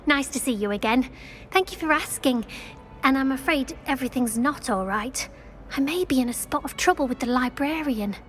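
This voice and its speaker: A woman's voice: sits high, fairly bright tone. An actress playing an earnest, bookish British schoolgirl witch in a video game, polite, chatty and fretful.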